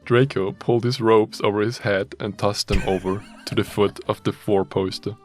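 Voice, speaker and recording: A man's voice: fairly low pitch, steady tone, moderate pace, loud music behind the voice. A man reading a fanfiction story aloud as a dramatic narrator, mock-serious and often amused, with joking asides. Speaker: story reader.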